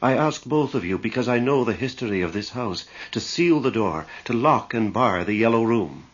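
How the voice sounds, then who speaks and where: An actor playing a solemn, disapproving priest in a radio drama, grave, earnest and admonishing. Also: male voice, mid-range voice, expressive, moderate pace, lightly acted. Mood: urgent warning. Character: solemn, disapproving male priest, fearful of evil. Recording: dull old radio recording.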